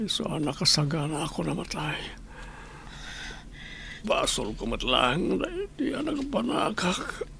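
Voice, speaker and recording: A man's voice: a little high, wildly swooping pitch, slow, vocal fry, long pauses, background noise, exaggerated voice. An actor with an Ilocano accent playing an anguished, regretful sick man in a radio drama, pained and pleading.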